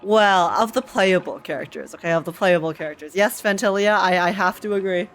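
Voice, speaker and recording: A woman streamer giving casual live reactions to a game in an excitable, playful, exclamatory way. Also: mid-range voice, brisk. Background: faint game music.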